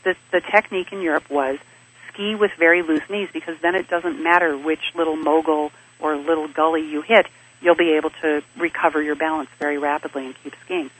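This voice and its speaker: A woman with a bright, slightly husky voice speaks in a measured, explanatory manner as an interview guest. She grew up in Switzerland and lays out her ideas in steady lists of points. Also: sits low, rough recording. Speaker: interview guest, business advisor. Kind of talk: thoughtful conversational interview answers.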